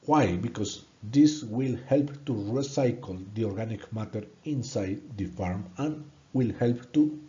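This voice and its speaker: Slow, earnest Colombian-accented man. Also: lowish voice, dark tone, dull audio. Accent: Colombian Spanish. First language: Spanish. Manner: earnest, halting, repeats words when stuck. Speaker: student presenting a course project. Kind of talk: prepared presentation narration.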